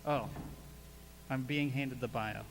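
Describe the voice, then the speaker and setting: A man's voice: medium pitch, takes it slowly, background noise. A man hosting a live meetup event, joking at his own expense and introducing guests on stage. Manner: self-mocking, joking about mispronouncing names. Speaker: event host. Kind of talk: casual live emcee banter.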